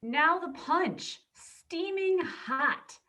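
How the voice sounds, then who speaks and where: Cheerful, theatrical Victorian played by a woman, bright voice with wide swings, for a Christmas story. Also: extremely high pitch, animated, drawn-out words, full character voice.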